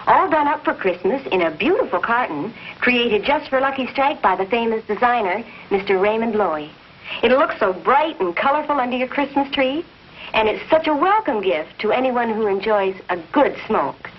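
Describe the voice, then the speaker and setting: A woman's voice: on the high side, animated, normal speed. An American woman announcer reading a scripted 1950s commercial with a polished sales delivery. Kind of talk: 1950s scripted cigarette advertisement. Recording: muffled 1950s TV soundtrack, faint noise.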